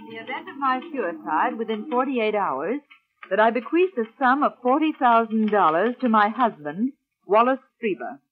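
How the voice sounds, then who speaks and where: An actress playing an indulgent, dismissive wife and busy producer in a 1940s radio drama, with an expressive, airy manner. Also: female voice, fairly high pitch, normal speed, close to an everyday voice. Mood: breezy. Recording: muffled, band-limited old radio recording.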